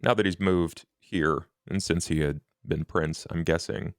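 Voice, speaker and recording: Composed vampire financier for tabletop roleplay, acted by a man; bass register, measured and formal, recorded close and dry. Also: no echo. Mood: calculating.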